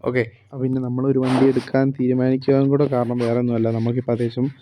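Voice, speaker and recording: Male vlogger from Kerala, rapid monotone, rambling casual narration full of fillers. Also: mid-range voice, background noise. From Kerala, India. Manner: rambling, heavy on fillers like "okay" and "and things". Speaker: vlogger narrating a bus-business roleplay. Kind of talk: casual first-person vlog narration.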